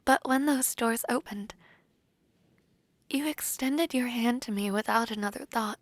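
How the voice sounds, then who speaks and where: Soft, slow woman acting a devoted princess bride. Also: a little high, no echo, lightly acted. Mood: tender, heartfelt. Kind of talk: scripted romantic audio roleplay.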